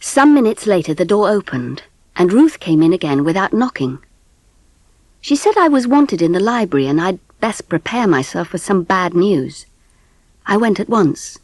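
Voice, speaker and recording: Gentle mother, slightly husky, narrating. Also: mid-range voice, big pitch swings, normal speed, dry recording. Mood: sombre, steady. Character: gentle middle-class mother telling her family's story. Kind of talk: dramatised audiobook narration.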